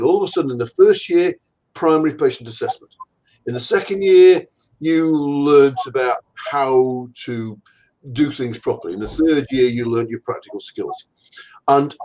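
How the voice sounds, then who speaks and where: A man's voice: a little high, mellow, rough recording. An older man from England, a guest in an interview, telling rambling, self-deprecating stories from his career over a video call. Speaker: guest anatomist. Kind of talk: casual conversational interview.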